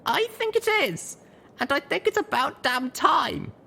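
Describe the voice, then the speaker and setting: Feisty young girl pony for a story, way up high, stubborn, bit of noise behind. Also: big pitch swings, unhurried, gravelly, bright, forceful tone, heavily acted. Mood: angry, determined. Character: fiery young mare heroine, small, stubborn and righteous.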